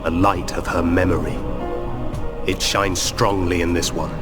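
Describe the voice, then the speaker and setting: Slow, low, menacing game villain. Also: male voice, steady tone. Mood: cold resolve. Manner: deliberate, menacing monologue. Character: cold, commanding male villain scorning memory and obedient servants. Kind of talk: dramatic video game voice acting. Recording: loud ominous music bed. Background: loud dark orchestral music.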